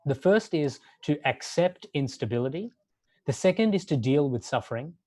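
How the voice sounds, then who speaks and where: A man who grew up in Australia, giving a sermon-like talk in a measured way and building long lists for emphasis. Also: a little high, animated, mellow, dry recording, clean studio sound.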